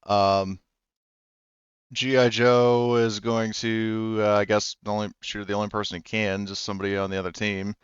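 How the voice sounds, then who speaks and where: A man running a tabletop game as game master, talking haltingly with many fillers. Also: fairly low pitch, little pitch movement, clean tone, no echo, dull audio.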